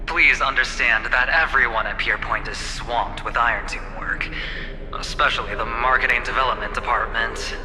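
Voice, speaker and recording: A man playing a pragmatic corporate negotiator in a video game, speaking in a polished, persuasive, measured way. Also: mid-range voice, lightly acted. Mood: diplomatic, persuasive. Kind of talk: scripted video game voice acting. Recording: long reverb, music behind.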